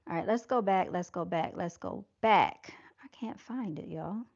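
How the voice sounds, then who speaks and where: A soft-spoken woman hosting a casual livestream, chatting to her viewers and calling them y'all. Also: fairly low pitch, a little slow, gravelly, highs cut off. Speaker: livestream host. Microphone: camera mic on a livestream.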